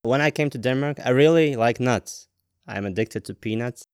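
Chatty man, smooth dry voice. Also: mid-range voice, moderate pace, no room sound. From Latvia. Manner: friendly, chatty, jokey asides. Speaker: interviewer. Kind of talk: casual interview chat.

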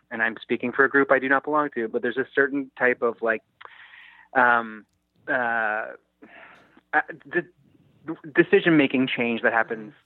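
A forty-year-old man talking casually and haltingly as a screenwriter taking feedback in a group discussion. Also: mid-range voice, no echo, phone-quality sound. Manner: hesitant, halting. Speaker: screenwriter getting script feedback.

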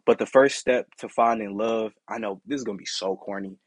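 A young man with a deep, raspy voice hosting his own podcast, talking in a candid, rambling way with lots of "you know" and "like". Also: steady tone, a little fast. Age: young adult. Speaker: podcast host. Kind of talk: casual solo podcast monologue.

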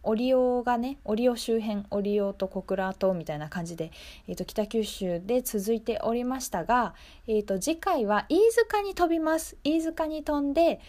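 Higher-pitched woman hosting radio. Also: a little mellow. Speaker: radio show host. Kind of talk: casual local radio hosting.

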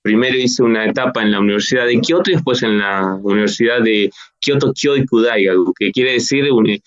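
A man's voice: mid-range voice, a little fast, no echo. Rambling Argentine man on a dull line. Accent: Argentine Spanish. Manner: rambling, many restarts and fillers. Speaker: guest. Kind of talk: casual interview.

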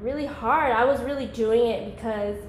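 A young woman in her twenties chats as a podcast guest, her voice sitting a little high. She rambles in a loose, laid-back way, filling gaps with "like". The room is echoey, with a faint hiss underneath. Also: normal speed. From Georgia, US. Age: 20s. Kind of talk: casual podcast chat.

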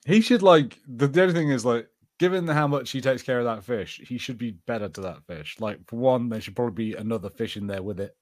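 A man's voice: medium pitch, fairly quick, few pauses, no echo, studio quality. A man co-hosting a podcast, chatting casually in an informal, jokey way. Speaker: podcast co-host. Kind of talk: casual chat, episode review banter.

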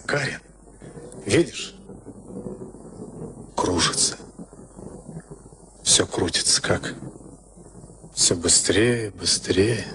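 A low-pitched weary male boxer, brooding and dazed, speaking slowly in halting fragments. It is a Soviet radio drama on a very noisy old recording. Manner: halting, short broken phrases. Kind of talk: Soviet radio play acting.

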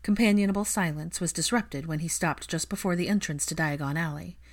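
Female audiobook narrator for fan fiction, slightly low in pitch, scripted prose reading. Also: a little fast.

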